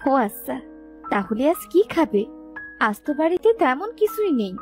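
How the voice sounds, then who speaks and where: A woman plays a woodcutter's contented village wife in a cartoon. The voice is high and very animated, full of affection, over faint music. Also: moderate pace. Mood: concerned. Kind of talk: Bengali cartoon voice acting.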